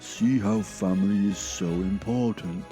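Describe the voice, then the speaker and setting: A man voicing a big, jolly, sanctimonious old Santa Claus in an audio drama, with slow, grand, politely preachy delivery. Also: on the low side, dark tone, faint music behind, close to an everyday voice. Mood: cheerful preaching.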